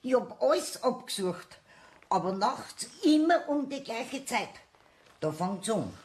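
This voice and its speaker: A woman's voice: on the high side, sing-song, unhurried, a little mellow. An actress playing a troubled, plaintive old woman with a Bavarian accent, polite and worried, in a radio play. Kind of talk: German radio play dialogue.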